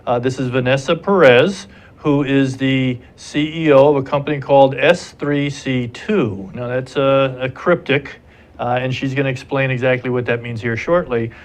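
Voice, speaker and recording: A man's voice: medium pitch, steady tone, normal speed. Older male host, hesitant friendly delivery. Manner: hesitant, friendly, many fillers. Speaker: talk show host. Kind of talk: business talk show. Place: TV studio.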